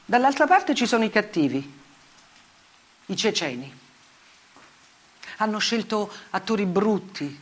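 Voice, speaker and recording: An actress playing a grave, bitterly ironic woman journalist in a theatrical monologue, speaking deliberately in short, weighted phrases. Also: on the low side, fairly bright tone, slightly rough, exaggerated voice. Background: music.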